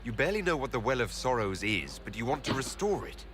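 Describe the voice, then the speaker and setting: An actor playing a questioning, skeptical leader in a fantasy video game, direct and challenging. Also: male voice, medium pitch, very animated, fast, noisy background, lightly acted. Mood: skeptical, probing.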